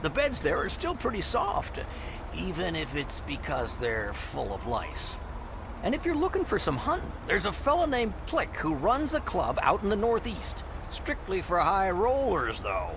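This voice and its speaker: Salty old boat captain for a game, gravelly, sly folksy sales pitch, really swoopy, noisy outdoor sound behind. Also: male voice, huge pitch swings, measured pace, full character voice. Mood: sly, jovial. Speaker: ferry captain. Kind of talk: video game character dialogue.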